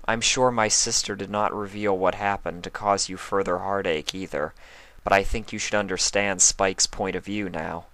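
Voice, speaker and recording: A man voicing a regal, consoling pony princess with a formal, measured manner in a storybook reading. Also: low-pitched, steady tone, fairly bright tone, no echo.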